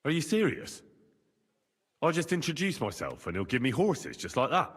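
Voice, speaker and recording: Husky, lively young man-at-arms. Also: fairly high pitch, normal speed, long reverb tail. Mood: practical, grateful. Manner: earnest, blunt, gallant. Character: plain-spoken, eager young medieval man-at-arms. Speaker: voice actor in a video game. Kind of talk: scripted game dialogue.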